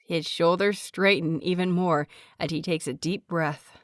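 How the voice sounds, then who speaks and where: A woman's voice: on the low side, fairly even intonation, unhurried, no echo, studio quality. A woman reading a scripted story aloud as a narrator. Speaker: audiobook reader of fan fiction.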